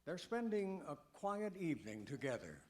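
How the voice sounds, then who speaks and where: A man's voice: on the high side, sing-song, normal speed. A man delivering grand, declamatory scripted narration as an old-time radio Western announcer.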